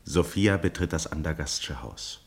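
Very deep-voiced male narrator. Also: lively intonation, a little slow. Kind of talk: scripted radio play narration.

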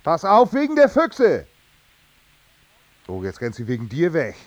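An actor playing an irritable, defiant unemployed working-class husband who grumbles and swears curtly in a radio play. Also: male voice, mid-range voice, very animated, normal speed, darkish tone, no echo. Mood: exasperated. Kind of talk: naturalistic radio play dialogue.

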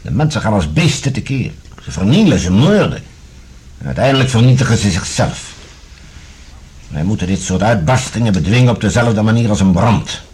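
A grave, secretive official in a sci-fi radio drama. The voice is low and very rough with huskiness, the delivery deliberate and worried. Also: male voice, big pitch swings, darkish tone, full character voice. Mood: grim. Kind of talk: Dutch radio drama acting.

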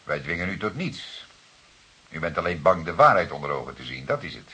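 A composed radio-play detective with a very deep, slightly rough voice. He is courteous and formal. He questions deliberately and does not let go. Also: big pitch swings, bright, forceful tone, full character voice. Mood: pressing. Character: composed, probing male police detective, courteous but insistent. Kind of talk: Dutch radio play acting.

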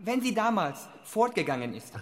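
An actress in a German radio drama playing a protective, consoling companion of homeless men, blunt but caring. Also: female voice, medium pitch, big pitch swings, normal speed, lightly acted. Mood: soothing. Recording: reverberant hall, faint noise.

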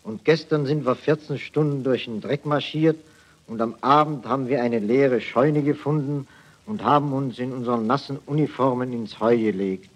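A man's voice: medium pitch, moderate pace, lo-fi sound, natural voice. An actor playing a hapless, naive army private telling his woes in a folksy way on an archival 1940s satirical radio broadcast. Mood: weary, earnest. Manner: folksy, mock-naive storytelling.

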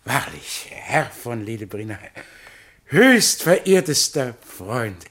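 Husky, swooping, fawning scholar. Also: male voice, medium pitch, big pitch swings, takes it slowly, a bit bright, exaggerated voice. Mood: effusive, flattering. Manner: unctuous, mannered, over-elaborate. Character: fawning, slippery scholar who flatters everyone and himself. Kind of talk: theatrical radio play acting of literary text.

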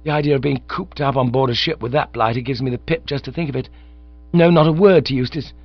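A man voicing an excitable young gentleman who talks in a breezy, hurried, chummy way. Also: mid-range voice, dry recording, voice pushed up, close to an everyday voice. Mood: eager. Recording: slightly dull lo-fi recording.

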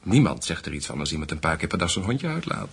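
An actor playing a fawning, coy, cold-blooded assassin in a radio play, with a husky voice. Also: male voice, a bass voice, big pitch swings, quick pace, exaggerated voice.

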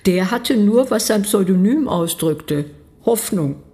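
A woman's voice: mid-range voice, lively intonation, slow pace, mellow, a little hiss or hum. A woman acting in a radio play as a sceptical questioner with a composed, pointed way of talking. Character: sceptical, probing woman challenging a man's account.

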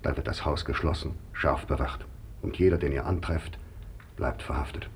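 Very deep, gravelly stern commander. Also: male voice, moderate pace, background noise. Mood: cautious, commanding. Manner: terse, controlled, commanding. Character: stern, calculating male senior military commander. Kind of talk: classical verse radio drama acting.

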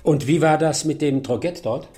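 An actor playing a brilliant, commanding detective in a radio drama, brisk, probing and articulate. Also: male voice, a little high, dark tone, husky. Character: brilliant male detective, commanding, shrewd, quietly amused.

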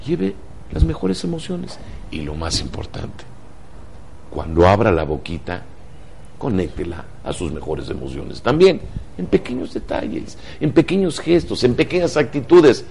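A man's voice: medium pitch, wildly swooping pitch, measured pace, creaky, dark tone, a little hiss or hum. A man with a Mexican accent giving motivational talk show commentary, emphatic and fond of rhetorical questions.

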